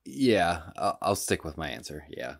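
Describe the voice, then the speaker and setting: A man's voice: low-pitched, very animated, normal speed, creaky, dry recording. A man co-hosting a podcast in casual chat, hesitant and choosing his words carefully. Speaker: podcast co-host.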